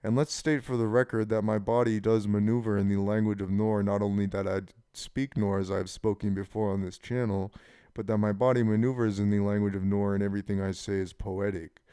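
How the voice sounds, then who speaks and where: A man in his twenties with a deep voice, rambling frankly and casually in a personal monologue, swearing now and then. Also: flat delivery, fairly quick, mellow, smooth, no room sound. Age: 20s. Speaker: channel host.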